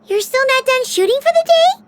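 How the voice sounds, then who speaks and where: A woman voicing a tiny, chatty, childlike fairy companion with a squeaky voice in a video game. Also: animated, fairly quick, a little hiss or hum. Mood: flustered, chipper.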